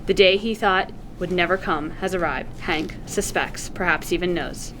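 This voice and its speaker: A woman's voice: lowish voice, normal speed, very noisy. A woman reading scripted stage directions and narration aloud at a screenplay table read.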